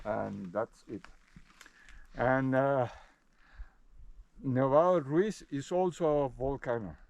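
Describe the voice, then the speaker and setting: A man's voice: medium pitch, slow pace, creaky, a little mellow, no room sound. A man from Colombia, chatting casually with a traveler by the roadside in friendly, hesitant English full of fillers. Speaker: fellow traveler. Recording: dull lo-fi sound.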